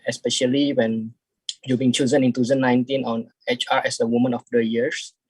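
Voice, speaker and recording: Guy on a video call, halting, lots of restarts and "right?", dry close sound. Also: mid-range voice, little pitch movement, husky, no echo, rough recording. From Indonesia. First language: Indonesian. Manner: halting, many restarts, keeps adding "right?". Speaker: audience member asking the guest questions. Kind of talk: casual question in an online talk.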